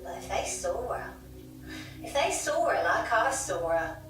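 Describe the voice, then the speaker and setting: A woman's voice: on the high side, very animated, measured pace, music in the background. A woman playing an insistent, fearful pub landlady, telling of a haunting emphatically in an audio drama. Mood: haunted, intense. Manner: emphatic, dramatic, repeats herself. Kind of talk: audio drama storytelling in an interview. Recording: very echoey noisy room, distant mic.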